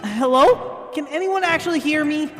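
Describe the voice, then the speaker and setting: A performer voicing a plucky young sidekick, indignant and deadpan, in an audio superhero comedy. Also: sits high, moderate pace. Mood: exasperated. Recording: reverberant hall sound with music.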